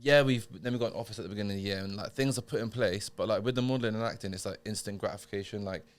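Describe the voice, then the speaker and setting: Thirties man, podcast guest; low voice, rapid rambling chat. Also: clear, resonant tone. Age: 30s. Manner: rambling, filler-heavy, lots of "like". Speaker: podcast guest, model and actor. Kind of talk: casual chat.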